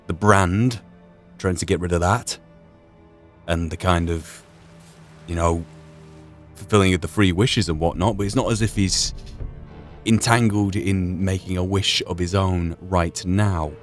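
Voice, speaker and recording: Casting: male game commentator, fairly deep, reflective and halting, talking over faint background music. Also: steady tone. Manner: thoughtful, halting, thinking through asides. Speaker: gaming video host. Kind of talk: reflective playthrough commentary, musing aloud.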